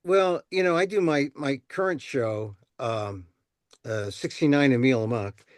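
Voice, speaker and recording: A man's voice: medium pitch, a little slow, no room sound, studio quality. A man who performs comedy and storytelling, talking casually in a panel discussion.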